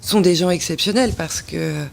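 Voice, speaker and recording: A woman guest giving her view, pausing and repeating words. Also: on the low side, gravelly, a little hiss or hum. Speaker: studio guest.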